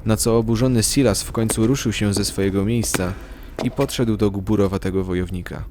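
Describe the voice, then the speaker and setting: A man's voice: lowish voice, steady tone, brisk, noisy background. A man delivering scripted, dramatic narration as the storyteller of a fantasy audio drama.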